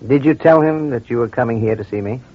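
An actor playing a courteous, probing crime doctor in an old-time radio drama, speaking in a measured, formal, reassuring way. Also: male voice, mid-range voice, lightly acted. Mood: calm, concerned. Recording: muffled vintage radio recording.

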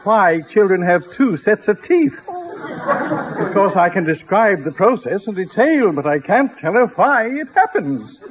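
A man's voice: sits high, sing-song, measured pace. A man playing a courteous, earnest, self-deprecating psychology professor in 1950s radio comedy style. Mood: rueful. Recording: dull, faintly noisy old radio recording.

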